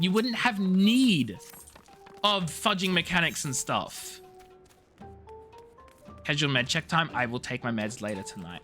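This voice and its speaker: A man chatting casually as a live game streamer, in an animated, expressive way with emphatic, drawn-out words. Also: on the high side. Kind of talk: casual game stream chat, reading story dialogue and viewer messages aloud. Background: game music.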